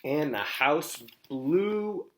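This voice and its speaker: A man reading a children's storybook aloud like a teacher, expressively, pausing to explain and ask questions. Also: fairly high pitch, animated, fairly bright tone, slight background noise.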